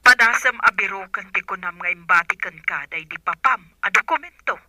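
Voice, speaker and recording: A woman playing a brisk, helpful auntie advising over a telephone in an audio drama. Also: mid-range voice, pushed and bright. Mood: urgent. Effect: telephone filter.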